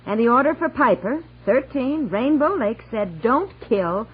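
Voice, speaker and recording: A flustered, high-voiced housewife in an old radio comedy. She frets and complains in swooping run-on sentences. The recording is tinny and hissy. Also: expressive, measured pace, husky, full character voice. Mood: exasperated. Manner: fretful, breathless run-on complaining. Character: flustered, exasperated wife and mother. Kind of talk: 1950s-60s radio comedy acting.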